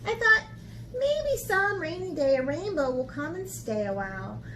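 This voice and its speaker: A woman art teacher talking to young children in an expressive, encouraging way, as in a lesson or picture book read-aloud. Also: extremely high pitch, a little slow, a little hiss or hum. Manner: expressive, encouraging talk aimed at young children, with dramatic gasps.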